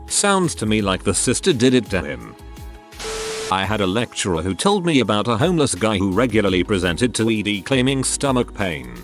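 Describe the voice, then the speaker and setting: A man with a lowish voice reads out internet stories in an even, steady narration. Soft music plays faintly under his words. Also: moderate pace. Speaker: narrator reading Reddit posts. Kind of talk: scripted narration. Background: soft background music.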